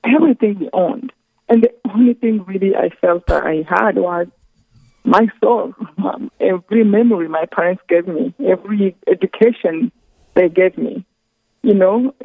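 A woman from Rwanda, speaking slowly and earnestly as a guest on a phone interview, often pausing mid-thought. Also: medium pitch, creaky, dry recording. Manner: slow, earnest, halting with careful pauses. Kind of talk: phone interview, personal testimony. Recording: tinny phone line.

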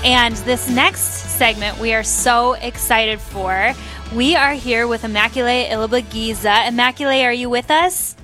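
Bright-voiced female radio host. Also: on the high side, measured pace, faint music behind. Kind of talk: radio interview.

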